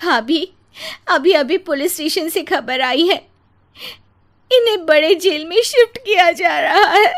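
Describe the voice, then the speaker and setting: Woman performing an anxious younger sister-in-law, very high voice, plaintive, emotional, cartoon story dialogue. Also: unhurried, fairly bright tone, exaggerated voice. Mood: tearful, panicked.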